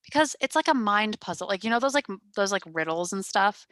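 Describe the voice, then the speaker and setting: Woman, 20s, podcast host on a headset; calm, even, deadpan delivery, loose and rambling, dry close sound. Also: medium pitch, a little fast, no room sound. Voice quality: calm, fairly monotone voice. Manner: rambling, filler-heavy, deadpan humor. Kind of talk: casual chat. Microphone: gaming headset.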